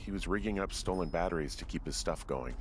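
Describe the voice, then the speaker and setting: Low-voiced earnest man actor, noisy background. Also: quick pace, lightly acted. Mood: somber. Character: gentle, anxious grown male fire lookout. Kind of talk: naturalistic video game dialogue.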